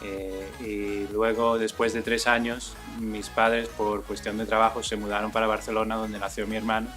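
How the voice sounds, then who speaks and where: A man in his forties, from Spain, talking about his painting in a relaxed, thoughtful way with many fillers. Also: fairly low pitch, moderate pace, faint music behind. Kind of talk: casual documentary interview.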